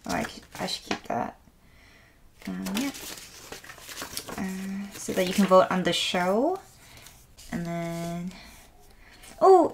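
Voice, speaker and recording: A woman with a raspy voice today, chatting softly and gushing with lots of fillers as she unboxes an album at a table. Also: fairly low pitch, noisy background. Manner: soft, rambling, gushing with many fillers. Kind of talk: casual unboxing chat. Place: indoors at a wooden table.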